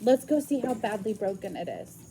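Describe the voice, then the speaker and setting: A woman's voice: medium pitch, big pitch swings, fairly quick, vocal fry, mellow, a little hiss or hum. A woman voicing a stern, caring guardian. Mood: gentle.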